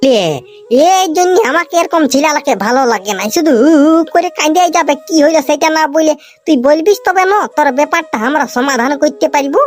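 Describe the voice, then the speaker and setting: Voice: high voice, fairly quick, natural voice. A performer with a Purulia Bengali accent voicing a bossy, teasing village lad in a comedy cartoon, scolding in a rustic drawl. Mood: impatient. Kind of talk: exaggerated comedy cartoon dialogue.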